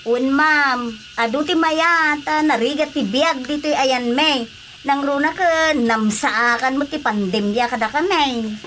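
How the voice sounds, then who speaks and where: A woman's voice: high voice, unhurried, fairly bright tone, a little hiss or hum. A woman playing an eager, deferential poor village wife in a radio drama comedy.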